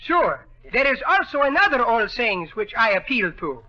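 An actor playing a jolly Greek immigrant with an accent who chatters in mangled English, in 1930s radio comedy style. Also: male voice, very high-pitched, very animated, moderate pace, heavily acted. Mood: jolly, chatty. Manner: garrulous, fractured English with malapropisms. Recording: dull vintage 1930s radio broadcast.

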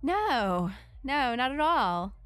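A woman's voice: high-pitched, big pitch swings, takes it slowly, fairly bright tone, smooth, dry recording, heavily acted. A woman playing a guarded, sarcastic young sidekick in an audio drama, speaking casually and bluntly. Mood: guarded, evasive.

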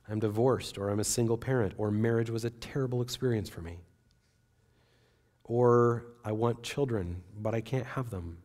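A man in his forties preaches from behind a wooden pulpit on a church stage. His voice sits a little low, and he speaks in an earnest, measured way. He often voices the doubts of his listeners as quotes. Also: darkish tone. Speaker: pastor. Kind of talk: sermon.

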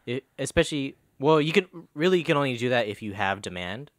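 A young man co-hosting a podcast from a living room, chatting in a relaxed, jokey, slangy way on a boom mic. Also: fast talker, no room sound, studio quality. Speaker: podcast co-host. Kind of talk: casual chat. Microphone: boom mic on arm.

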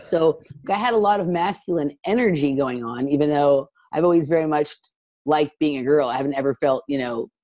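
Woman w a really deep low voice, mellow, chatting thoughtfully, lots of likes. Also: fairly quick, dull audio. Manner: candid and reflective, with many likes and fillers. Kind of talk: conversational discussion.

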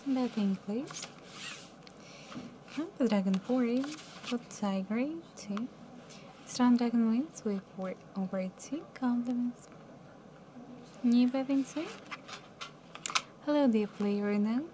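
A young woman working as a live casino card dealer, calling each round in a soft, mumbly, calm and polite way from a studio table. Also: on the high side, slow, pauses often, slight background noise, rough recording. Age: young adult. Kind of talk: announcing card game rounds.

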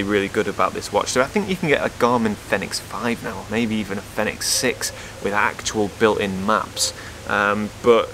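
A man's voice: fairly low pitch, fairly bright tone, slight background noise, low-quality recording. A man in his thirties from England, chatting casually to camera in a garden while reviewing his hiking gear, upbeat and full of asides. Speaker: outdoor photographer and vlogger. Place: outdoor garden patio in front of a brick house. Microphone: camera on a stand facing him, close.

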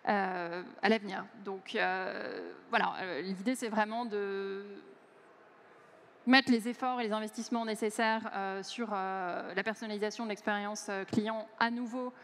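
A woman's voice: mid-range voice, steady tone, measured pace, fairly bright tone, slight background noise. A woman in her forties giving a conference keynote on a large stage through a headset mic, speaking fluently but with many hesitant fillers. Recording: long hall reverb.

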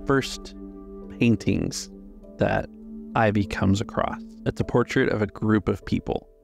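A man's voice: on the low side, steady tone, unhurried, gravelly, music in the background. A man running a tabletop roleplaying game as game master, narrating scenes and explaining the rules.

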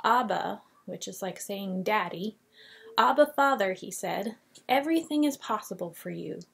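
A woman's voice: medium pitch, lively intonation, normal speed, gravelly, husky. A woman in her forties teaching a children's Bible lesson on webcam, telling the story expressively and reading scripture aloud. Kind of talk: Bible lesson for kids on video. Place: small painted classroom office, indoors. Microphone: webcam at desk.

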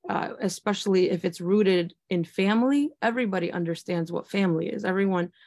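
A woman's voice: medium pitch, a little fast, vocal fry, a little mellow, dry recording, studio quality. A woman teacher on a panel, speaking earnestly and reflectively, with many fillers and restarts. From United States. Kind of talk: panel discussion answer.

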